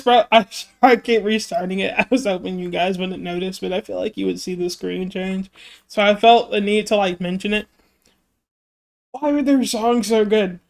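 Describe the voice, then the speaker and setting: A streamer reacting to music videos in casual chat, rambling with many fillers, while their voice keeps catching from throat trouble. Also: mid-range voice. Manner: rambling and chatty, many fillers and self-interruptions.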